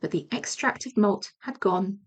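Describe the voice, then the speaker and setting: A woman's voice: medium pitch, expressive, normal speed. A woman reading a children's storybook aloud as audiobook narration. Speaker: audiobook reader.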